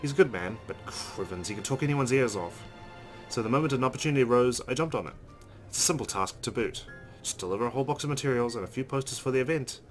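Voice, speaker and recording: A man's voice: medium pitch, animated, fast. A man hosting a let's play, reading visual novel narration aloud. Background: game music.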